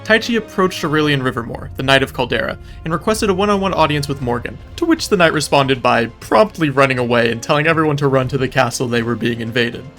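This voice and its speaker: A man's voice: mid-range voice, expressive, quick pace, hardly any pauses, a bit bright, faint music behind. A man narrating a scripted comedic recap in a wry, informal, mock-epic storytelling way. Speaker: video narrator.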